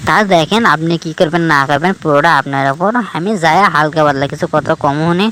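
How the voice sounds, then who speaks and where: A man's voice: on the high side, brisk, bright, forceful tone. A man with a northern Bangladeshi accent playing an exasperated, scolding village friend in a cartoon skit. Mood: resigned, reasoning. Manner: scolding, lecturing. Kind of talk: colloquial dialogue in a cartoon skit.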